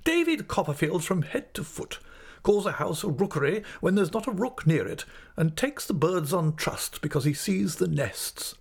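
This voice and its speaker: A man's voice: on the high side, wildly swooping pitch, normal speed, husky, pitched above the performer's own voice, exaggerated voice. A man voicing a brusque, imperious older woman in an audiobook, speaking in emphatic, peremptory declarations. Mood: scornful. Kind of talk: audiobook character voice.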